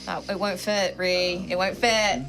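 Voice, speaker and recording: A woman's voice: fairly low pitch, flat delivery, measured pace, fairly bright tone, faint music behind. A woman bantering casually as a player in a tabletop roleplaying game.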